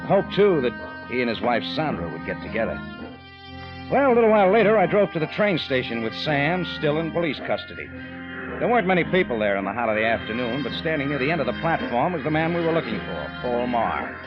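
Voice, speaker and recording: Man acting a hard-boiled American radio adventurer, lively pitch. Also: brisk, lightly acted. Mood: brooding narration. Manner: clipped, wry, hard-boiled. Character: tough, unflappable American adventurer narrating his own case. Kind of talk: 1940s radio detective acting and first-person narration. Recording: muffled old radio broadcast with music.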